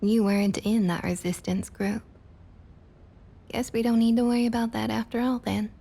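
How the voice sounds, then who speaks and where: A woman playing a domineering, condescending vampire councilwoman with a Southern US accent, in a breathy, intimate ASMR roleplay with a drawling delivery. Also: a little high, little pitch movement, moderate pace. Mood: dismissive, controlling. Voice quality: husky, breathy, often half-whispered. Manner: drawling, condescending, quietly threatening. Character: domineering female vampire council member, centuries-old, coolly possessive Southerner. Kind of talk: intimate ASMR roleplay, scripted character acting.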